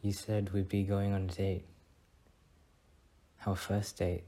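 A young man playing a shy, sweet student boyfriend on a first date in a soft-spoken ASMR roleplay, speaking in a hesitant, bashful way. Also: low-pitched, flat delivery, slow pace, full character voice. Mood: shy, hopeful.

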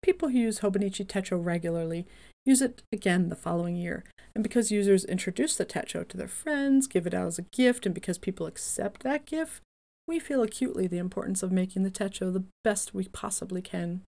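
Woman reading as a calm older company founder. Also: medium pitch, brisk, gravelly. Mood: measured.

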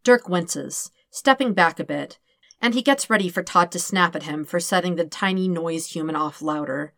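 A woman reading fan fiction aloud as an expressive narrator. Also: lowish voice, moderate pace, vocal fry, dry recording, studio quality. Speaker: podfic reader.

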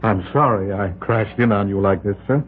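An actor playing a shaken lawyer pilot who has just crash-landed, hesitant, stammering and polite. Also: male voice, on the low side, normal speed, slight background noise, lightly acted. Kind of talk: 1970s radio drama acting. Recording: narrow-band 1970s radio broadcast.

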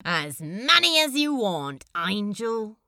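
A wry, easygoing man acted by a woman in an audio drama, tender under the teasing. The drawl is extremely unhurried and crackles with fry, swinging lazily in pitch, and it is recorded close and dry. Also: big pitch swings, sharp tone, dry recording, full character voice. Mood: fond teasing. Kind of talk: amateur audio fiction acting.

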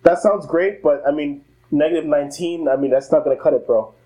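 A young man with a mellow, warm voice co-hosts a casual basketball podcast. He talks bluntly, swears freely and laughs often. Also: fairly high pitch, brisk, poor audio. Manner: blunt, profane, laughs easily. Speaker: podcast co-host. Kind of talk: casual sports chat.

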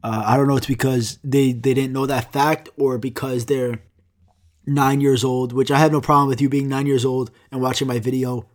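A man's voice: medium pitch, moderate pace. Sick young man rambling profanely. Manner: profane, rambling, deadpan jokey. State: sick, congested. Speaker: podcast host. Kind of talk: casual rambling monologue.

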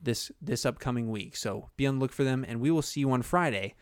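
A man's voice: medium pitch, clean tone, no echo, clean studio sound. Chatty young man co-hosting a podcast. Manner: animated, laughing, quick jokey asides. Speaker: podcast co-host. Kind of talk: casual podcast chat.

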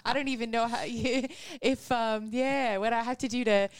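A woman's voice: fairly high pitch, steady tone, moderate pace, smooth, no room sound. A woman from the American South with a Southern accent, co-hosting a community radio show in an upbeat, friendly chat. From Southern United States. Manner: upbeat, friendly, says "like" a lot. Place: radio studio.

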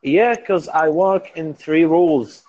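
A man in his twenties from Iraq, a native Arabic speaker with an Arabic accent, chatting haltingly in English practice and searching for words. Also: on the high side, darkish tone, lo-fi sound. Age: 20s. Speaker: language learner. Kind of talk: casual conversation practice.

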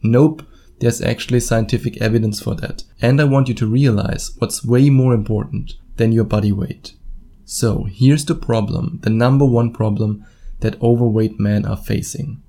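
Mellow man with a German accent. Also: mid-range voice, steady tone, moderate pace, creaky. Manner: informal, blunt, swears casually, acts out imagined quotes. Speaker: podcast host and dating coach. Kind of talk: solo podcast monologue, casual direct address.

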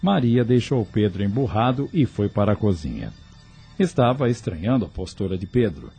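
A man's voice: fairly low pitch, sing-song, normal speed, gravelly, mellow. Guy narrating a radio soap, lots of swing in his voice, faint music behind. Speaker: announcer and narrator. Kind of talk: scripted radio drama narration.

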